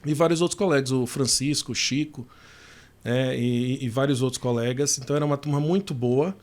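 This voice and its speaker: A man's voice: medium pitch, a little fast. A man, a physiotherapy professor chatting as an interview guest, telling his story at ease with fillers and restarts. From Salvador, Bahia, Brazil. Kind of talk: casual interview conversation.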